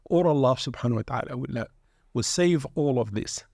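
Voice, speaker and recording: Male shaykh, gravelly and level, preacherly podcast guest on a dry mic. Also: medium pitch, a little fast, a little mellow, dry recording. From born in England, raised in Sudan. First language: Arabic. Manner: earnest, preacherly, peppered with Arabic phrases. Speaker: guest shaykh, pilgrimage group leader. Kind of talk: reflective religious podcast talk.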